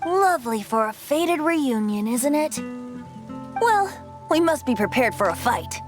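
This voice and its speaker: High-voiced lively boy bard. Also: unhurried, music in the background. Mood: playful flourish. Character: youthful boy bard, playful, theatrical, light-hearted and sincere. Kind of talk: anime video game voice acting.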